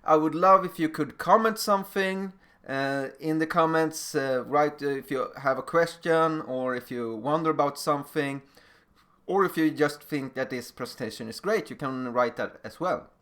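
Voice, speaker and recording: A man's voice: a little high, normal speed, fairly bright tone. A man from Sweden with a Swedish accent, a non-native speaker giving an informal presentation as a teacher in plain, slightly non-fluent phrasing. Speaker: middle school teacher giving a lecture. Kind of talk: informal recorded presentation with personal stories.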